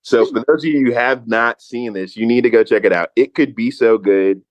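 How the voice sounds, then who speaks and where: A man hosting a podcast, a music producer telling the story of a project in a relaxed, rambling way. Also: medium pitch, normal speed, no echo. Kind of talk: casual podcast chat. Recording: dull, muffled mic.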